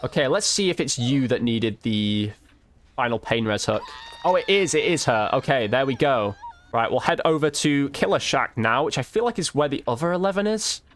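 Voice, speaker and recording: A man's voice: mid-range voice, animated, moderate pace, a bit bright. A man giving casual gameplay commentary, informally thinking aloud as he plays. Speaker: gaming video creator.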